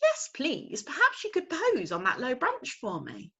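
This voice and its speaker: A woman voicing a kind, gentle young woman artist with a soft, sweet manner. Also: high-pitched, huge pitch swings, unhurried, voice pushed up, heavily acted.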